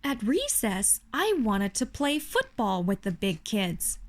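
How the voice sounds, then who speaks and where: A woman with a Chinese accent reads a children's picture book aloud expressively, as a parent would to a young child. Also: medium pitch. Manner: slow, animated storytelling for a young child. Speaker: parent reading a bedtime story to a child.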